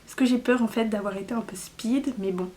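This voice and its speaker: A woman's voice: fairly high pitch, brisk, darkish tone, slight background noise. A woman hosting a knitting podcast, chatting casually to viewers in a scattered, self-deprecating way and often losing her thread. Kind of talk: casual rambling vlog talk to viewers.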